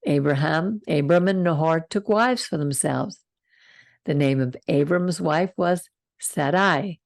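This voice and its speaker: An older woman hosting a Torah study over a video call, reading the passage slowly and calmly and stopping to comment. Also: low-pitched, gravelly, no room sound, studio quality. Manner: slow, calm reading with side comments. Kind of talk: reading scripture and teaching.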